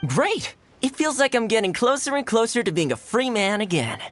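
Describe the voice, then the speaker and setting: A man's voice: extremely high pitch, very animated, moderate pace, fairly bright tone, faint music behind, full character voice. A man playing a cheerful, easygoing young retainer in a video game, talking casually and expressively. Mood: upbeat, relieved.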